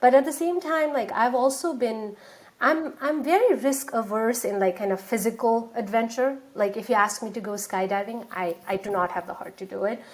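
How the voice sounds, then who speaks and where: A woman's voice: a little high, moderate pace. A woman in her thirties from Nepal, speaking as an interview guest, chatty and full of fillers and restarts. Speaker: guest, startup founder. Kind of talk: casual interview talk.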